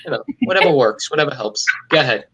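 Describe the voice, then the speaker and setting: Middle-aged male stand-up comic from New York, deadpan and cynical, sharp forceful tone. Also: medium pitch, fast talker, slightly rough. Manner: wisecracking, cynical, deadpan jokes. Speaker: stand-up comedian, guest. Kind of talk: casual video-call interview.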